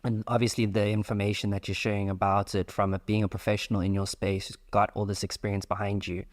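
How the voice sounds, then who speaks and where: A man's voice: on the low side, little pitch movement, fast talker. A young man from South Africa hosting a casual podcast interview, explaining his ideas at length. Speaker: podcast host.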